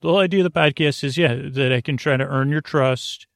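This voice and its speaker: A man hosting a sleep podcast in a creaky voice, delivering a soothing, meandering bedtime monologue that halts and restarts mid-phrase. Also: medium pitch, fast talker, dry recording, clean studio sound. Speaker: podcast host.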